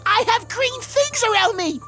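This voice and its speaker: A woman voicing an excitable, curious young male demon in a cartoon comic dub, theatrical and cheeky. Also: very high voice, a little slow. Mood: awed, excited. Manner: theatrical, wonder-struck, cheeky. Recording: music behind the voice.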